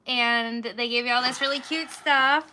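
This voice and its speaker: A woman showing off cute purchases in a casual, soft, unhurried way. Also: on the high side, fairly even intonation, a little hiss or hum. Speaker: host's wife showing purchases. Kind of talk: casual show-and-tell.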